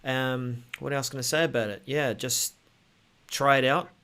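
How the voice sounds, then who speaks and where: A man co-hosting a tech show in a casual, halting way, thinking aloud as he explains updates. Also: mid-range voice, steady tone, sharp tone, smooth. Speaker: show host.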